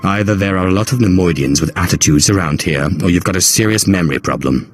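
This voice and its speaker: An actor playing a cold, menacing, haughty sorcerer in a video game, terse and imperious. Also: male voice, sits low, fast, faint music behind, close to an everyday voice. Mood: dryly irritated.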